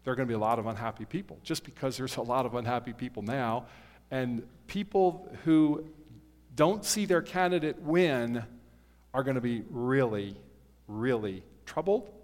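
A man's voice: mid-range voice, normal speed, a little mellow. A man in his fifties, a pastor, speaking to his congregation in a conversational, earnest way with frequent fillers and restarts. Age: 50s. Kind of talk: sermon. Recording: long hall reverb.

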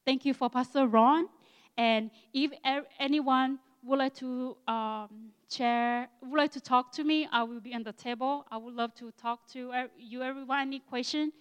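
A woman in her sixties from Thailand with an Akha Thai accent, speaking to a church in halting English and often searching for words. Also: high voice, steady tone, clear, resonant tone, big-room sound, dull audio. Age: 60s. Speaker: guest missionary speaker. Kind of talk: church talk with thanks.

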